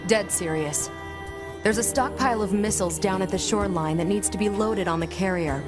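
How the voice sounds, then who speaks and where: A woman's voice: mid-range voice, a little fast, lightly acted. A woman playing a direct, wry android resistance leader in a video game, informal but businesslike. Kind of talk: English video game voice acting. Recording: game music playing behind.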